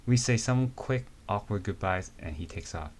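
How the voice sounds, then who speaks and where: A man's voice: lowish voice, expressive, moderate pace, lightly acted. A man reading as a wry woman soldier. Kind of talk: amateur dramatic reading of a comic.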